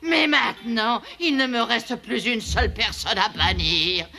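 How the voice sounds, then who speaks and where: Slow, imperious wicked cartoon queen. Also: fairly high pitch, creaky. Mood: furious, wailing. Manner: theatrical, gloating, imperious. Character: vain, wicked, scheming witch queen. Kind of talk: French cartoon dub acting. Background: music.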